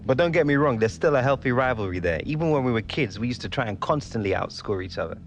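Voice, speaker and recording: An actor playing a modest, loyal young English footballer in a naturalistic game cutscene. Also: male voice, medium pitch, animated, quick pace, faint music behind, lightly acted. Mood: thoughtful, composed. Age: young adult.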